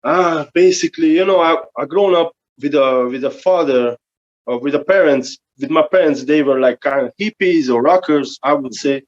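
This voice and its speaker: A man's voice: on the high side, moderate pace. Hungarian-accented man on a muffled call. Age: 40s. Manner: relaxed, slightly broken English, chuckles. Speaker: guest guitarist. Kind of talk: casual interview.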